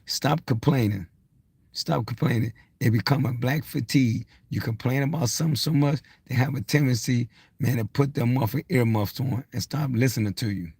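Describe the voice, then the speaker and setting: Gravelly, husky man around sixty. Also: medium pitch, moderate pace, darkish tone, no room sound. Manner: blunt, insistent, repetitive, swearing. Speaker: social media motivational speaker. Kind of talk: unscripted motivational rant to camera.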